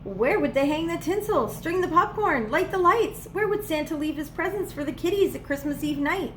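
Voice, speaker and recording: A woman reading a children's storybook aloud as a preschool teacher would, with expressive, playful emphasis. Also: sits high, moderate pace, slight background noise. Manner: dramatic, playful emphasis on repeated words.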